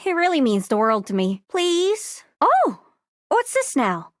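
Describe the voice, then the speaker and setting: A very high, swooping young voice for a video game globe come to life. She is excitable and sweet, chatting and gushing in a goofy, self-deprecating way. The sound is close and dry. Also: measured pace, no echo, heavily acted. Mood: touched, bashful. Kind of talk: video game character voice acting.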